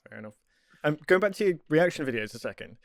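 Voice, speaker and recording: A man's voice: fairly high pitch, animated, fast talker, creaky, dry recording, clean studio sound. A man co-hosting a podcast, asking questions in a friendly way with small hesitations. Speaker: podcast host. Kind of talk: casual podcast chat.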